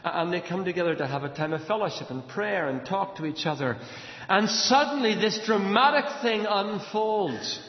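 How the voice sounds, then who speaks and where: An Irish man preaches in a large, very reverberant hall. His voice sits high for a man, and he tells the story dramatically, repeating words and posing questions. The sound is slightly dull. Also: animated, moderate pace. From Ireland. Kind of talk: sermon, expository storytelling from a Bible passage.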